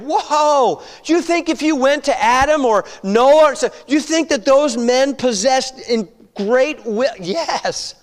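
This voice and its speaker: A man's voice: very high-pitched, big-room sound. A man in his fifties preaching a conversational sermon, full of rhetorical questions and frequent checks like "all right?". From United States. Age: 50s. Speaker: pastor.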